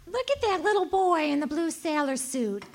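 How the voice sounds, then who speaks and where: Woman acting a high, animated small-town gossip. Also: normal speed. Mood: nosy, disdainful. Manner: gossipy, folksy. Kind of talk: radio play acting.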